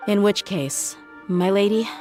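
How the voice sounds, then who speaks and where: A woman's voice: medium pitch, a little slow, dark tone, natural voice. A woman playing a tough, principled young female mercenary in a video game, speaking in an earnest, firm way. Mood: sincere. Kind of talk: video game character acting. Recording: music behind the voice.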